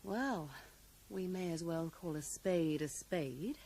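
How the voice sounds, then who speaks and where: An actress in a radio drama playing a guarded, sharp-tongued woman writer who is curt, evasive and coolly defiant. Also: fairly low pitch, animated, takes it slowly, exaggerated voice. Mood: cold, defiant. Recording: noisy 1980s radio drama broadcast.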